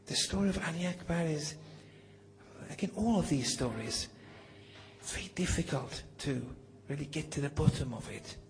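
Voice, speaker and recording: A man delivering a devotional lecture, reading Arabic passages and explaining them, speaking slowly and deliberately and sometimes dropping to a whisper for emphasis. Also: fairly high pitch, slight background noise. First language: not Farsi (Farsi is his second language). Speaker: religious lecturer.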